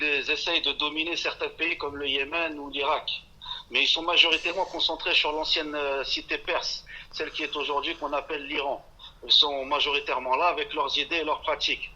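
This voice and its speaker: A man's voice: on the high side, fairly even intonation, fairly quick, pushed and bright. Blunt man over a muffled phone line. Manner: blunt, categorical, talks over the host. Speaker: phone-in guest. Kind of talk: combative phone interview. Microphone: telephone.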